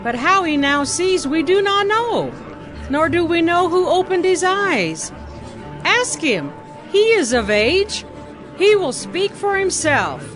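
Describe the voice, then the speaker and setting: Casting: guarded, anxious mother, extremely high voice, slow Bible drama delivery. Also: very animated, a bit bright, soft background music, exaggerated voice. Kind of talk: dramatized audio Bible acting.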